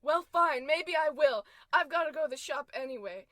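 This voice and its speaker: A woman's voice: sits high, expressive, moderate pace, bright, forceful tone, dry recording, studio quality. A woman playing a long-suffering wife in an old-time radio comedy, coaxing yet tart and dryly exasperated.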